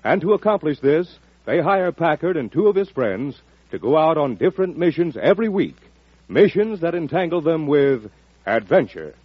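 An American man announcing a 1940s radio promo in a punchy, dramatic, husky voice. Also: a little high, big pitch swings, moderate pace, no echo. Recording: muffled old radio recording, dry.

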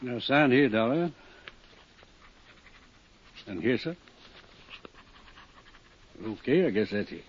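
An actor playing a blunt, fatherly older male lawyer in a 1950s radio drama, formal and measured. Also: medium pitch, lively intonation, a little hiss or hum. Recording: muffled 1950s radio recording.

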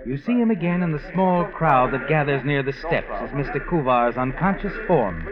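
An actor playing a knowing, ominous narrator who tells an old radio mystery to its heroine. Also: male voice, medium pitch, animated, normal speed, crowd noise, close to an everyday voice. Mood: knowing, suspenseful. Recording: dull vintage radio recording. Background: dramatic music.

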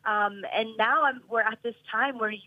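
A woman's voice: fairly high pitch, normal speed, no echo, narrow, tinny audio. A woman in her thirties talking earnestly as a podcast guest, rambling with many restarts. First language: English. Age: 30s. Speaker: professional soccer player, guest. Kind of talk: casual podcast interview.

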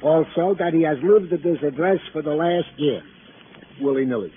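Actor as a fussy, higher-voiced comic police sergeant. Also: male voice, moderate pace, narrow, tinny audio, close to an everyday voice. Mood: officious. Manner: pompous officialese mixed with complaining. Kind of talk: 1950s radio comic-relief acting. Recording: thin, noisy old radio broadcast.

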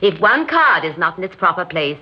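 An actress playing a commanding elderly hostess and conspirator in an old radio drama, speaking with deliberate, gracious authority. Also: female voice, lowish voice, sing-song, breathy edge. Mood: instructing. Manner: imperious, deliberate, theatrically gracious. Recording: muffled, noisy old radio recording.

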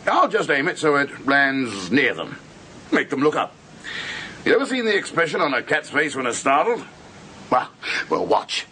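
Brash, highly animated film producer. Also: male voice, normal speed, long pauses, a little hiss or hum. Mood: playful, cocky. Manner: brash, blustering, stammering when flustered. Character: boorish, excitable, blustering male horror film producer. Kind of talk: radio drama acting.

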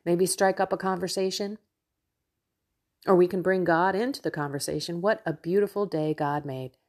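Low-pitched, slightly nasal woman podcaster. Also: normal speed, a little mellow. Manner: effusive, chatty, talks directly to listeners. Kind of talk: casual devotional monologue to listeners.

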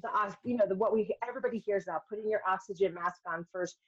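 A middle-aged woman speaks as a podcast guest, telling personal stories in a candid, conversational way. Her voice sits at a medium pitch and she voices quoted remarks as she talks. The recording is somewhat dull and lo-fi. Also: fast, breathy edge. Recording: dull, lo-fi sound.

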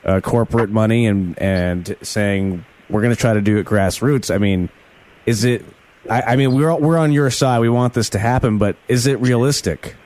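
A man's voice: lowish voice, moderate pace, darkish tone. A man hosting a political interview in a chatty way, with sarcastic asides. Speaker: interviewer host.

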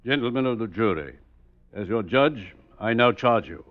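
An actor playing a stern, righteous eighteenth-century judge, grave and oratorical, in an old radio drama. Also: male voice, fairly low pitch, expressive, normal speed, natural voice. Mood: solemn, indignant. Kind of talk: 1950s radio drama acting. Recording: dull old radio recording.

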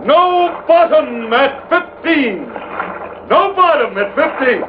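An actor in a 1940s radio drama playing a seaman who calls out in long, urgent shouts, a man on an old recording. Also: expressive, background noise, full character voice. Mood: urgent calling. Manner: drawn-out, high calling shouts. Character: shouting seaman in a sea adventure. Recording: tinny old radio recording, music behind.

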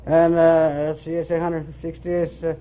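A man, a quiz show contestant bantering playfully in a hesitant, halting way. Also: on the high side, monotone. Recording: dull vintage radio recording, audience noise.

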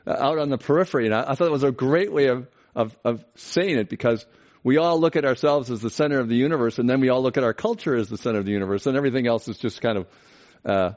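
A man's voice: medium pitch, quick pace, darkish tone. A man interviewing in a conversational style, talking discursively and building up long questions.

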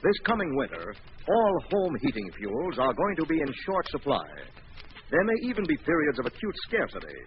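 A man's voice: on the high side, big pitch swings, normal speed, muffled recording. A man announcing in scripted 1940s radio style, formal, emphatic and declamatory. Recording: noisy, band-limited 1940s radio recording.

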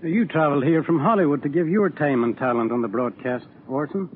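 A man's voice: a little high, a little fast, narrow, tinny audio. A man, a small-town editor, speaking earnestly as a guest in a scripted 1940s radio exchange. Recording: noisy band-limited 1940s radio broadcast.

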